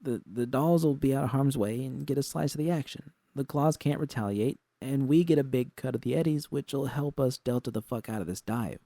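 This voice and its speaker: A man performs an earnest young woman in a cyberpunk audiobook, pitched up and light. The delivery is urgent and halting, full of slang, in a close, dry recording. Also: mellow, no room sound, close to an everyday voice. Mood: anxious, hopeful. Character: excitable, earnest young woman braindance technician pitching plans.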